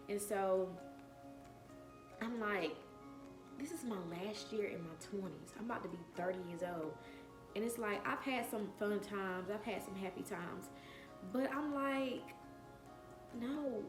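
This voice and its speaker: A woman's voice: a little high, roomy sound. A woman in her late twenties telling a personal story on her vlog, earnest and emphatic, repeating phrases to drive her point home. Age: 20s. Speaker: vlogger.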